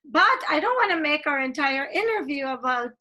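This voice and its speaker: A woman's voice: high voice, animated, normal speed, bright, forceful tone. A woman from Guyana hosting a casual video-call interview, chatty and eager, her statements often rising like questions. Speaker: interview host.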